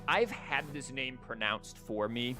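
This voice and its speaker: A man's voice: medium pitch, lively intonation, unhurried, sharp tone. A man giving casual, rambling and wry commentary as he livestreams a video game. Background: game music.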